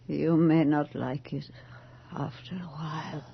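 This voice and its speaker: An actress playing an aged, world-weary aristocratic widow in a radio horror drama, speaking with measured dignity. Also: female voice, deep voice, fairly even intonation, gravelly, slightly rough, heavily acted. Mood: grave, probing. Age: older. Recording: dull old radio drama with music.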